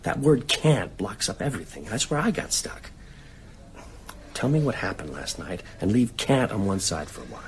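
A man's voice: fairly low pitch, very animated, normal speed, slight background noise. An actor in a radio drama playing an insistent, playful man talking intimately from his sickbed. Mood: insistent, concerned. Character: hospitalised male lover, playful yet insistent and open-minded. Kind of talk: naturalistic radio drama dialogue.

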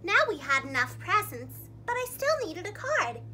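A young woman reads a story to little children like a preschool teacher. Her voice is very high and swings widely in pitch, with expressive, dramatic phrasing. It is recorded in a room with a faint hum of noise. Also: moderate pace, poor audio. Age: young adult. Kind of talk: animated read-aloud for young children. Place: small indoor room, seated against a plain wall.

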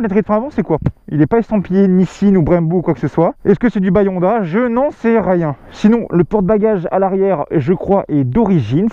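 A man's voice: high voice, no echo. A young man casually commenting on a motorbike while riding it, joking and restarting his sentences. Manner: chatty, joking, full of fillers and restarts. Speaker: motorcycle vlogger. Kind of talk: casual ride-along vlog commentary. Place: riding a motorbike on country roads outdoors. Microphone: helmet mic under wind and engine. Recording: tinny helmet mic, loud engine and wind.

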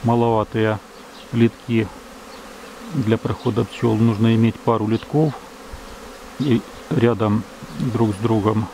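A man from Ukraine, a beekeeper, calmly narrating outdoors at his hives, reading out times and temperatures in a measured, matter-of-fact way. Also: fairly low pitch, vocal fry, slight background noise, low-quality recording. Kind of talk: calm narration of apiary footage. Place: outdoors at an apiary beside hives. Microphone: camera's built-in mic. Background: buzzing bees.

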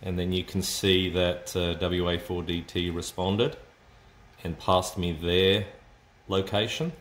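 A man's voice: sits low, steady tone, slow pace, a bit bright. A man giving an unscripted, hesitant, explanatory demonstration as a radio hobbyist, thinking aloud as he goes. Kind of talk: unscripted casual tech demonstration. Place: indoors at a home desk with computers. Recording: roomy echo, noticeable background noise.

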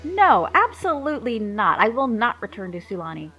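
A headstrong young mermaid princess, put on by a woman narrator, emotional and quick to flare up. Her voice carries a gravelly edge. Soft music plays faintly underneath. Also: mid-range voice, expressive, highs cut off, exaggerated voice. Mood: angry. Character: pregnant young mermaid princess wife, proud and stubborn.

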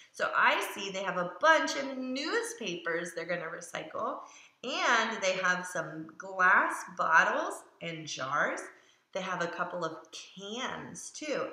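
Bright, animated woman reading to children. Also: mid-range voice. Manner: expressive, sing-song, simple child-directed talk with exaggerated gasps. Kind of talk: children's storybook read-aloud with questions to young listeners. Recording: roomy echo around the voice.

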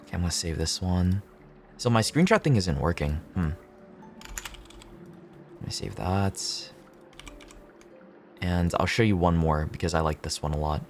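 Deep-voiced young man streaming games. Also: animated, a little slow, long pauses, soft background music. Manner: slangy, sweary, laid-back. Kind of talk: casual livestream gameplay chat.

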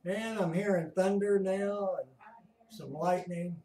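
Slow-talking older American man in a room. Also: high-pitched, dark tone. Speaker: amateur radio operator, video host. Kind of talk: casual explanatory chat. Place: small home radio room, indoors. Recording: roomy, distant from the mic.

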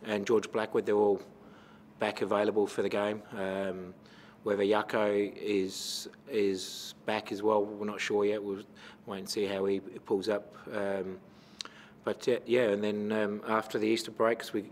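A middle-aged man with an Australian accent, a football coach answering questions at a post-match press conference into a table microphone, measured and full of fillers. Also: fairly low pitch, level tone, darkish tone, a little hiss or hum. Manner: measured, many fillers and restarts. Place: press conference room with sponsor backdrop.

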